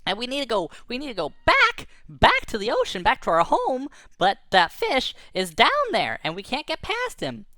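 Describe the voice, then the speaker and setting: Woman putting on a squeaky, excitable tiny anchovy. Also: moderate pace, few pauses, no echo, clean studio sound, full character voice. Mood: anxious. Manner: breathless, stammering, repeating themselves.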